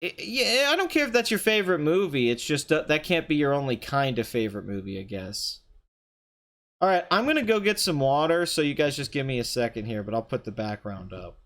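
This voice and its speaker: Young man, hoarse and strained voice, slightly nasal, rambling live stream chatter. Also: big pitch swings, brisk, a bit bright. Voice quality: somewhat high, nasal voice. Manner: chatty, rambling, often clears throat, reads chat aloud. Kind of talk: casual live stream chat.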